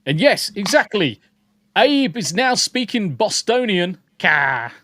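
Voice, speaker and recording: A man's voice: high voice, sing-song, slow pace, a bit bright, dry recording. A man co-hosting a casual podcast livestream, joking and swearing freely while greeting viewers in chat. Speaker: podcast co-host.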